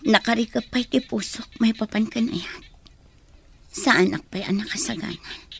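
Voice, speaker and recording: Woman acting husky, creaky heartbroken woman. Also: fairly high pitch, normal speed. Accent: Filipino, Ilocano. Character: heartbroken, guarded woman doubting love. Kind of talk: radio drama acting.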